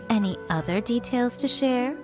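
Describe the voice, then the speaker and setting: An actress playing a sly, refined shrine priestess in a video game, coy and measured. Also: female voice, a little high. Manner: coy, measured, mock sympathy. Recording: music behind the voice.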